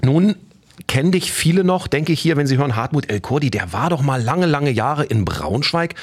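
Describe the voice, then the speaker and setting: Husky, lively radio host. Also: male voice, mid-range voice, normal speed. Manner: chatty, stumbling restarts. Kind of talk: casual radio interview. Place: radio studio.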